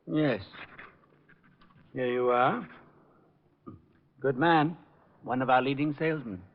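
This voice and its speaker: Male actor for proper, cooperative insurance official; mid-range, measured old-radio delivery, muffled sound. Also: mid-range voice, lightly acted. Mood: obliging. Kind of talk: 1940s radio detective acting. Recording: dull vintage radio recording.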